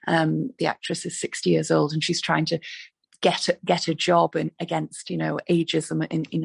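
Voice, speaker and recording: A woman playwright with a hoarse, raspy voice, talking earnestly about her plays in an interview over a video call, with many fillers and restarts. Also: low-pitched, dry recording. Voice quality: raspy, gravelly. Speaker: playwright guest.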